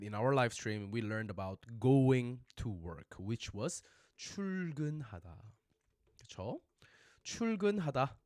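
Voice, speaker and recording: A man's voice: medium pitch, a bit bright, no room sound, studio quality. Chatty man teaching on a livestream. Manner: chatty, mixes English and Korean, repeats phrases for learners. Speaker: Korean language teacher. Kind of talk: casual livestream lesson.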